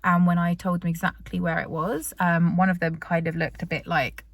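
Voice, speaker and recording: A woman's voice: on the low side, level tone, moderate pace, no room sound. A British woman vlogger telling a story in a casual, chatty way, full of fillers and restarts. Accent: British English.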